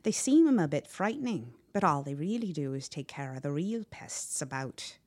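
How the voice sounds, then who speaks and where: A woman voicing a wise, kindly old country servant in a storybook, folksy, coaxing and unhurried. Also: low voice, sing-song, darkish tone.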